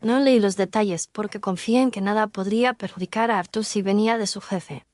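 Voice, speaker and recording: A woman's voice: mid-range voice, a little fast, darkish tone, no room sound, clean studio sound, lightly acted. A woman playing an earnest young Victorian fiancée giving her testimony politely and confessionally in an audio drama. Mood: earnest, confessing. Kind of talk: Spanish audio drama mystery acting.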